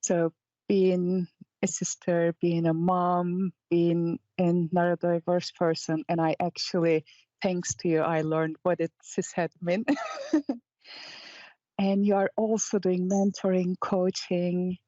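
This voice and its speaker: A woman with a fairly low, soft-toned voice co-hosts a podcast interview. She asks casual questions, recorded very dry and a little dull. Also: little pitch movement, takes it slowly, no room sound. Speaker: podcast co-host.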